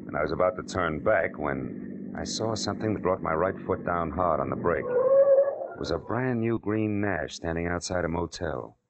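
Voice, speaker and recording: An actor playing a hard-boiled, wry private detective, clipped and dry, in 1940s radio crime style. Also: male voice, low-pitched, huge pitch swings, normal speed, vocal fry, highs cut off, very noisy, heavily acted. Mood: dry, tense narration. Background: dramatic music.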